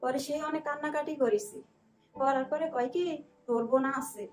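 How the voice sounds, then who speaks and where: A woman's voice: sits high, moderate pace, faint music behind. A woman with a regional Bangladeshi accent giving personal testimony in a plain-spoken, repetitive way. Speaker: guest telling of a lost younger sister. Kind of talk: emotional personal testimony in conversation.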